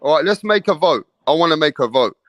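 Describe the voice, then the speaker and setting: A man's voice: on the high side, lively intonation, fast, dry recording. A man hosting a casual boxing livestream, swearing freely and pushing the viewers to vote. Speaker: livestream host.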